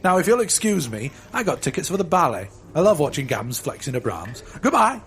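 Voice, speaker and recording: An actor playing a brash, greedy, boastful whale-oil tycoon in a comedic audio drama, with a bombastic, blustering delivery. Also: male voice, a little high, big pitch swings, a little fast, fairly bright tone.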